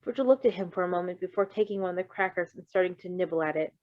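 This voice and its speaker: A woman's voice: on the low side, racing, no room sound. A woman reading a story aloud as a narrator, with playful casual asides. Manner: playful, breaks off to riff. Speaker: reader of fan fiction. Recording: dry, slightly muffled recording.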